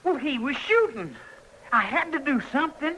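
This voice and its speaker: An old, slightly noisy radio western has a runaway frontier boy with a rural American accent. The pitch is extremely high and jumps around animatedly. He is defiant and scared, folksy and quick to defend himself. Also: big pitch swings, bright, forceful tone, heavily acted. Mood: sheepish, defensive. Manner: folksy, earnest, stammering when cornered.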